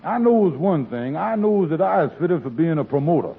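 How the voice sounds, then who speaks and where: A smooth-talking con man, husky, lively delivery, 1940s radio comedy, tinny broadcast. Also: on the high side, moderate pace, exaggerated voice. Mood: scheming. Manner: wheedling, fancy-word talk, dialect speech. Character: scheming, smooth-talking male would-be promoter.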